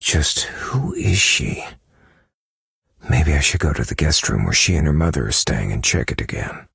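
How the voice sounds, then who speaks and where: A man playing a brooding, haunted, distrustful novelist in a video game, with a gravelly, husky voice, delivering a dramatic monologue. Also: a bass voice, animated, moderate pace, fairly bright tone, no echo, clean studio sound, full character voice. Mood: pondering. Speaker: game character voice actor.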